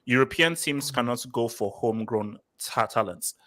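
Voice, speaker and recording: A man born in London and raised in Nigeria, hosting a casual football chat and reading out viewer comments, talking in an animated way and often repeating words. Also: mid-range voice, moderate pace. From born in London, grew up in Nigeria. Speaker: podcast host.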